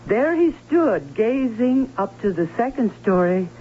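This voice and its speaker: An actress playing a fearful, anxious aunt in an old radio drama, speaking in a halting, dramatic way. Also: female voice, fairly high pitch, big pitch swings. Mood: grave recounting. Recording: muffled, hissy old radio broadcast.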